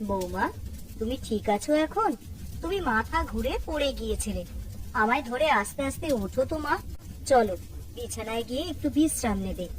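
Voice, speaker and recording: A woman voicing a caring, gently bossy older mother-in-law in a children's cartoon. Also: a little high, expressive, moderate pace, natural voice, poor audio. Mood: soothing, fussing. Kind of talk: Bengali children's cartoon voice acting. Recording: background music under the voice.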